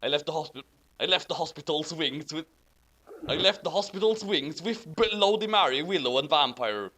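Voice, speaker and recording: A man with a fairly high, sharp voice reads a story aloud with mocking commentary. His pitch swings widely, and he sounds incredulous and amused by the text. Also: lively intonation, normal speed. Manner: incredulous, amused, breaking into laughter over the text. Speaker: host reading fan fiction aloud with commentary. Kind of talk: dramatic reading with mocking commentary.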